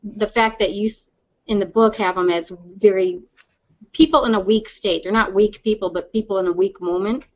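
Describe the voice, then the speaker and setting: A woman's voice: mid-range voice, moderate pace, muffled recording. A woman hosting a podcast in casual conversation, rambling and inquisitive, rephrasing her questions as she talks. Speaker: podcast host. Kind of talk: casual interview chat.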